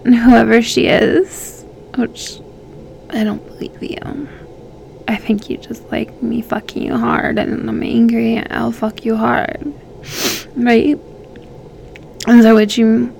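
A woman playing an obsessive, possessive young female lover in an audio roleplay, slow and gravelly, swinging between hushed and loud. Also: fairly high pitch, fairly even intonation, a little hiss or hum, heavily acted. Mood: angry, pleading.

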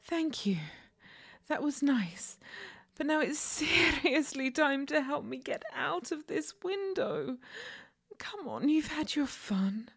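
A woman voicing a playful young catgirl who teases in breathy whispers, meows and purring growls. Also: sits high, lively intonation, takes it slowly, fairly bright tone, full character voice. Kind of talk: solo audio roleplay.

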